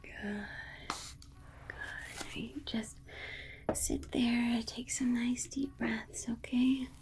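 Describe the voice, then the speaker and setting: A woman playing a polite, condescending alien scientist in an ASMR roleplay, whispering in a soothing, coaxing manner with breathy delivery. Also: on the high side, steady tone, drawn-out words, noisy background. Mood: soothing, matter-of-fact. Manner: soothing, coaxing, hesitant asides. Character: alien scientist, polite, reassuring, breezily condescending toward humans.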